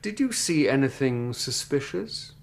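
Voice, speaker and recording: Pompous, wildly inflected court examiner. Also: male voice, medium pitch, very animated, a little slow, gravelly, slight background noise. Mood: scornful, impatient. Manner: pompous, incredulous, clipped questioning. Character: stern, sceptical male inquest examiner, pompous and probing. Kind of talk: radio drama courtroom acting.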